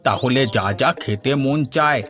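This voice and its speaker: A man's voice: mid-range voice, normal speed, fairly bright tone, lightly acted. A man playing a grumpy, sarcastic older village father-in-law who grumbles, in a folk-tale cartoon. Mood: sarcastic irritation. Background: background music.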